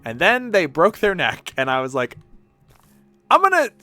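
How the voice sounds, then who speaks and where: A man's voice: high-pitched, wildly swooping pitch, moderate pace, pushed and bright, faint music behind. A man streaming video games, chatting casually in a rambling way with some swearing. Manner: rambling, profane. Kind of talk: casual livestream chat over gameplay.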